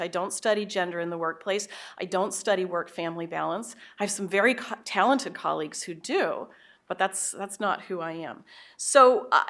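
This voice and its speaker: A loud-voiced woman in her fifties gives a conference talk from a podium. She speaks in a lively, conversational and self-aware way, asides and all. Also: mid-range voice. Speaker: keynote speaker, professor. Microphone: podium microphone.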